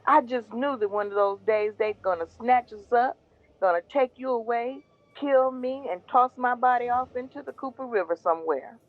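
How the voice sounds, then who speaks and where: A woman voicing a devout mother in an audio drama, consoling her son with gentle, earnest faith. Her voice sits a bit high and comes through slightly dull and lo-fi. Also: normal speed, lightly acted. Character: Mama Ronnie, warm devout foster mother. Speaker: Drew's mother.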